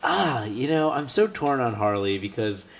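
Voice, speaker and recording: A man's voice: mid-range voice, big pitch swings, gravelly. A young man co-hosting a crude comedy chat, speaking in an everyday mid-range voice. He jokes wryly, often stumbling and restarting his sentences before he lands the line. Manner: halting, restarts, wry joking. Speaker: podcast co-host.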